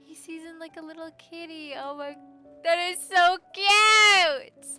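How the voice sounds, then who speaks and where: Bright young woman streaming a game. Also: very high voice, a little slow. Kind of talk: casual livestream reaction chat. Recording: game music faintly behind.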